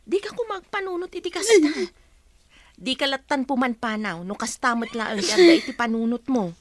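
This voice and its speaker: A woman's voice: high voice, expressive, normal speed, slight background noise. An actress playing a concerned woman imploring her friend in a Filipino radio soap, with a Filipino accent. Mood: pleading, consoling. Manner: emotional, imploring. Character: concerned, pleading female friend.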